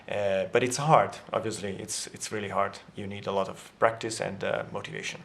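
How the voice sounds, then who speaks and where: A man's voice: fairly low pitch, a bit bright, a little hiss or hum. A man in a language vlog, explaining grammar in a casual, thinking-aloud way with many fillers and restarts; his first language is Italian. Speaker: language vlogger. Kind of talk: casual language lesson to camera.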